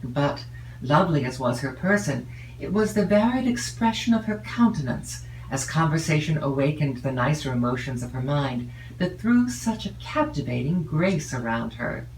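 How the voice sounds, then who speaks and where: A woman reading a classic novel as an audiobook narrator, in a measured, expressive way with drawn-out phrases. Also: sits low. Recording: roomy, distant mic with faint noise.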